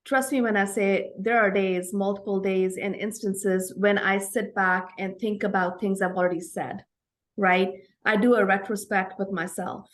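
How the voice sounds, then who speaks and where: A woman in her forties from India with an Indian accent, speaking as an interview guest in an earnest, reflective way and often ending points with "right?". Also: medium pitch. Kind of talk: conversational interview.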